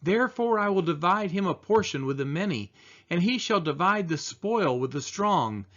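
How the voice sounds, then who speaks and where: A man reading the Bible aloud solemnly, in measured, deliberate phrases with pauses. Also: high-pitched, big pitch swings, a bit bright. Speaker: scripture reader. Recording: dull, lo-fi sound.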